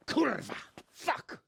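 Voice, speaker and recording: An actor playing a hot-tempered, blustering lord in a dramatic video game, with a gravelly, profane delivery. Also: male voice, fairly bright tone, slight background noise. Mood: furious. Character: hot-tempered lord, quick to rage and curse.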